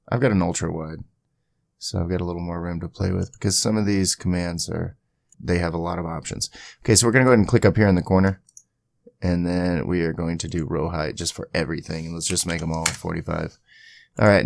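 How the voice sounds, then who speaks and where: A man giving a casual screen-capture software tutorial, thinking aloud informally as he goes. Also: low-pitched, creaky, dry recording. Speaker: tutorial host.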